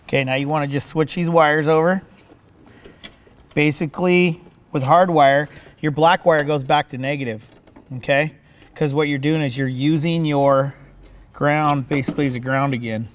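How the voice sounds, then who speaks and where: A man's voice: a little high, fairly even intonation, moderate pace. A middle-aged man giving a casual welding demonstration in a workshop, folksy and conversational, often checking in with "okay?". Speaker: welding instructor. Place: large indoor welding workshop.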